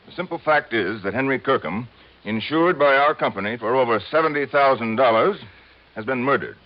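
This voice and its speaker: Pompous, animated radio insurance boss played by a man. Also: medium pitch, fairly quick, close to an everyday voice. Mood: irritated, accusing. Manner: pompous, demanding, fussy. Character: pompous, impatient, penny-pinching male insurance executive. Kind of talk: old-time radio drama acting. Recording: muffled vintage radio recording.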